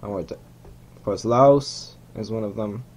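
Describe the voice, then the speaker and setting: A man's voice: on the low side, slow, a little mellow. A young man whose first language is Hungarian, casually commentating a game rocket launch at home, rambling and correcting himself as he goes. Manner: rambling, self-correcting, chuckling asides. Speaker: gamer narrating a rocket launch. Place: small room at home.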